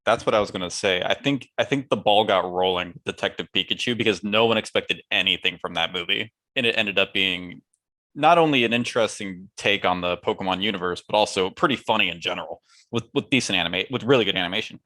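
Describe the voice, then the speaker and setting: A young man in relaxed podcast conversation. His voice is fairly low with a bright, crisp edge, and his wording is informal. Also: quick pace. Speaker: podcast co-host. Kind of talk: casual chat.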